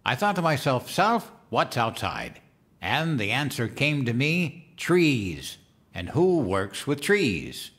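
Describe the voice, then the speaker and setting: An actor playing a childlike, eager, guileless president-elect in a comedic audio drama. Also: male voice, medium pitch, wildly swooping pitch, slow pace, fairly bright tone, exaggerated voice. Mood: earnest, cheerful. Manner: guileless, simple-minded, earnest talk.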